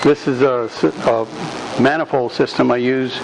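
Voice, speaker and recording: A man giving a live workshop demonstration in a club meeting room, projecting his voice loudly and rambling along with frequent ums. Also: mid-range voice, steady tone, a little slow, gravelly. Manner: loud, projecting without a speaker system. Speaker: woodturning demonstrator. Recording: noisy room recording.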